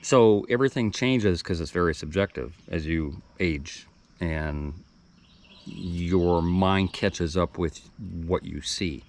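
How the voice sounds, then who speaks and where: Deep-voiced man in his sixties, musing. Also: slow, darkish tone. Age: 60s. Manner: rambling, thoughtful, with restarts and trailing asides. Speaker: video blogger. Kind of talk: reflective casual monologue.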